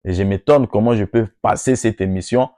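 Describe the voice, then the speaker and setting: A man's voice: on the low side, fairly quick, warm tone, no echo, studio quality. A Congolese man with a Congolese French accent, addressing workers on behalf of an NGO in an oratorical style with emphatic rising peaks. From Democratic Republic of the Congo. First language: Lingala or Swahili. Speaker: NGO speaker.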